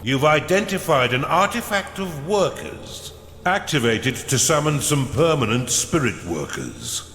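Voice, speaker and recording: Theatrical evil dungeon advisor from a fantasy game, big reverb, very animated formal announcements. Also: male voice, fairly high pitch, a little slow, exaggerated voice. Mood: grand, announcing. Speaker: game narrator. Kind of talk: scripted fantasy game narration. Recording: roomy, long reverb, faint noise.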